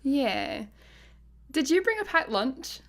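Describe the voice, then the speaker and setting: Higher-voiced Scottish space worker. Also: female voice, big pitch swings, slow pace, a bit bright, exaggerated voice. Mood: casual. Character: laid-back, literal-minded female space satellite employee. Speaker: radio comedy actor. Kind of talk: sci-fi comedy radio play acting.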